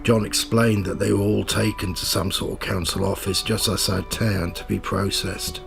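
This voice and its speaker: A man's voice: on the low side, level tone, moderate pace, vocal fry, over music. A man playing a nosy, cynical, pushy reporter in a radio play, with slangy, sardonic streetwise talk. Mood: dry, narrating. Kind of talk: audio drama dialogue and first-person narration.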